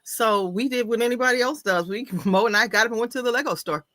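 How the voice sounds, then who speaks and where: A woman co-hosts a casual livestream with a bright, forward voice. She tells stories about her week in an upbeat, chatty way, and her voice sounds dry and close to the mic. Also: on the high side, quick pace, no room sound. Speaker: co-host.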